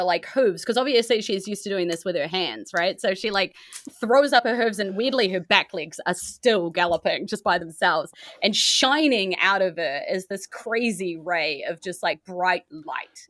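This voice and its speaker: A New woman is running a tabletop game. Her voice is bright and recorded very dry, and she improvises the scene in vivid, informal language, as if thinking aloud. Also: medium pitch, no room sound. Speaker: game master.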